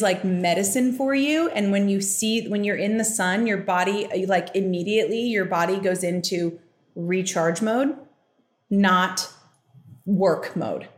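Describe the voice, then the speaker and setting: A young adult woman hosting a podcast, explaining a reading in a casual, chatty way, with frequent fillers and quick asides. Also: medium pitch. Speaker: podcast host giving a reading. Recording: roomy echo.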